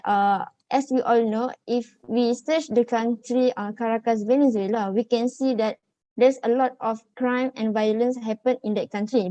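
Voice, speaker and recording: A woman in her twenties with a Malaysian accent, giving her views haltingly in a student group discussion. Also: a little high, dark tone, no room sound, muffled recording. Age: 20s. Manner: hesitant, halting delivery.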